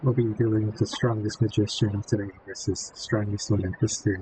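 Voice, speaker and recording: A man's voice: on the low side, fairly even intonation, quick pace, mellow, slight background noise, poor audio. A man giving offhand, mumbled commentary on gameplay into a built-in mic. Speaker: gameplay streamer. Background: game music.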